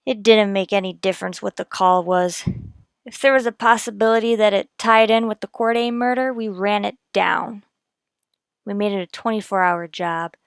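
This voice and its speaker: A woman's voice: mid-range voice, fairly even intonation, normal speed, clean tone, dry recording, studio quality, close to an everyday voice. A woman playing a dogged, businesslike police detective, narrating her case in a terse, matter-of-fact radio drama style. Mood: terse, factual.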